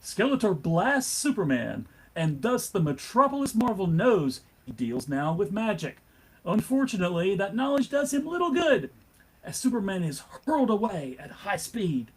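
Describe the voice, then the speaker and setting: A man's voice: high-pitched, very animated, normal speed, breathy edge, low-quality recording. A man giving dramatic scripted narration for a live comic book table read.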